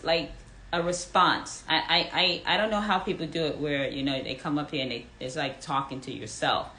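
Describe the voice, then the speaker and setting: A woman in her fifties with a low, bright voice hosts a live room. She talks in animated swoops and repeats her questions with emphasis. She reads out what listeners write and asks them to reply. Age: 50s. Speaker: fitness coach hosting a live audio room. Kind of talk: live audience chat.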